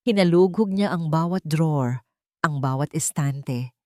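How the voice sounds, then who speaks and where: A woman's voice: low voice, measured pace, a little mellow, no echo, studio quality. A woman reading a scripted, dramatic romance story as its narrator.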